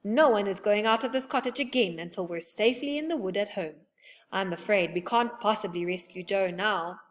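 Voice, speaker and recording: A lively little magical man from a storybook, decided and bossy, who bursts into fierce yelling. A woman reader acts him out. His voice is bold and jumpy with excitement. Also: mid-range voice, natural voice. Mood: firm. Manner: decided, quick to shout.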